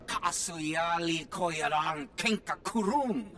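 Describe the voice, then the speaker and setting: A man's voice: on the high side, slow pace, bright, forceful tone, faint music behind. A man playing an alien guide in a video game, speaking an invented tongue emphatically. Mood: emphatic.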